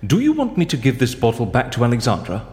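An actor playing a cunning, chatty prisoner with a genteel, playful manner in an audio drama. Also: male voice, mid-range voice, wildly swooping pitch, fast, darkish tone. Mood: wheedling, insistent. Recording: long reverberant hall.

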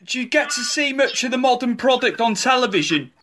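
High-voiced man asking hesitant questions. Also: expressive, a little fast, over a noisy crowd. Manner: hesitant, filler-heavy questioning. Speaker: interview host. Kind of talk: casual interview questions.

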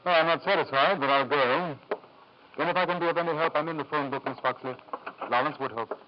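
Actor as a wry family doctor, muffled radio. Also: male voice, fairly high pitch, a little fast, a little hiss or hum, lightly acted. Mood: firm. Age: middle-aged. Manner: wry, dry, blunt. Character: dry, protective, plain-spoken family doctor. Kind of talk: old-time radio drama acting. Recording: muffled 1940s-50s radio recording.

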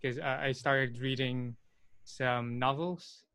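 A man chatting casually and asking questions, relaxed, with frequent fillers. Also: mid-range voice, takes it slowly, dry recording. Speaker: conversation partner asking questions. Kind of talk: casual conversation.

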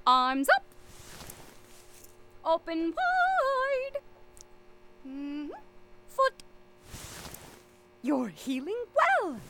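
An actress playing a chirpy, eccentric naturalist and medic in an audio drama, speaking in a precise, formal way. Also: female voice, high voice, background noise, full character voice.

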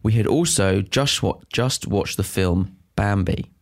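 A man's voice: on the low side, a little slow. A man co-hosting a casual podcast, reading listener confessions aloud and often laughing as he goes. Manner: giggly, breaks into laughter while reading aloud.

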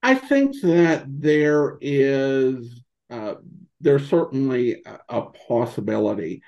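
A man speaking as a podcast guest in casual interview chat, halting as he thinks aloud. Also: medium pitch, darkish tone, highs cut off. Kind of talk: casual interview chat about old radio shows.

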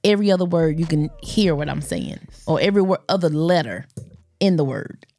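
A woman hosting a podcast, chatting in an animated, teasing way. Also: sits low, brisk, dry recording, studio quality. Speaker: podcast host. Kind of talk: casual chat.

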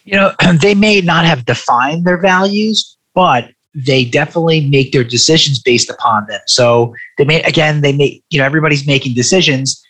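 A man's voice: on the high side, normal speed, hardly any pauses, fairly bright tone, slightly rough. A man in his forties talking reflectively in a conversational interview, stressing points by repeating phrases.

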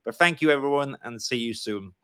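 A man's voice: mid-range voice, fairly quick, fairly bright tone, smooth, no room sound, clean studio sound. A man with a Welsh accent hosting a live-streamed interview, chatty and encouraging. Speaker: podcast host.

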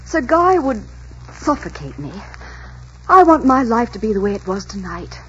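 An actress playing a romantic, spirited young innkeeper's daughter in a dreamy, melodramatic 1940s radio style. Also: female voice, on the high side, sing-song, moderate pace, slight background noise. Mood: dreamy, yearning. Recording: muffled 1940s radio recording, music behind.

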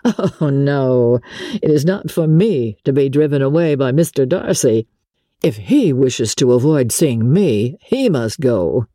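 A woman voicing a genteel, smooth-talking young gentleman officer in a dramatic audiobook, courteous, confiding and a little hesitant. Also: extremely low pitch, expressive, measured pace, dry recording, clean studio sound, heavily acted. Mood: pained, proud. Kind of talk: dramatic audiobook character reading.

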